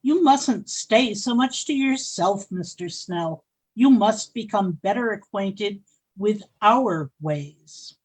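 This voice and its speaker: A woman's voice: mid-range voice, animated, slow, darkish tone, husky. A woman playing a haughty, snobbish society lady in a radio play, with a pompous, affected delivery. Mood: gushing, snobbish.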